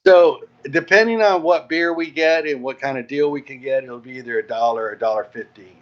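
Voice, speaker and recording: A man with a medium-pitched voice talks casually as a guest, laying out plans like an organizer. The audio is dull and lo-fi. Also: brisk. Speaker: interview guest, event organizer. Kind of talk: casual chat explaining event plans.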